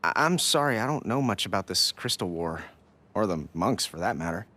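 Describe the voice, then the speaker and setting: An actor playing an eager, wisecracking teenage boy in an animated adventure game, casual and energetic. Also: low voice, fairly quick, exaggerated voice. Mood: cheeky, curious.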